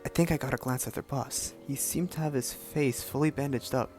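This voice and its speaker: Woman playing a very low-voiced police commissioner. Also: a little fast, a little mellow, faint music behind. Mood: evasive, shaken. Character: steady, fatherly male police commissioner. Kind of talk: amateur superhero radio play acting.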